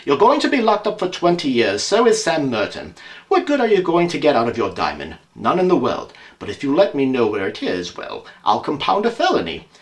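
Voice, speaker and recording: A man playing a brisk, confident, teasing detective in an audio drama, with quick, theatrical speech. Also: mid-range voice, wildly swooping pitch. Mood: reasoning, firm.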